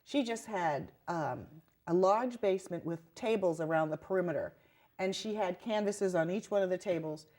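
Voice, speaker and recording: An older woman with a lowish voice tells her stories in an easy, chatty way. She talks as an interview guest and puts a little color into the people she describes. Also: moderate pace. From New York. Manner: chatty storyteller, animated quoting. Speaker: guest artist. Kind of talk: conversational interview.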